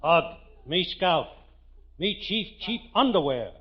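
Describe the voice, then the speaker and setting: An actor playing a pompous caricature chief who talks in clipped pidgin with grunts in an old radio comedy. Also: male voice, a little high, big pitch swings, takes it slowly. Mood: gruff, pompous. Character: pompous Indian chief, broad comic caricature. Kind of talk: 1940s radio comedy acting. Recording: muffled, very noisy old radio broadcast.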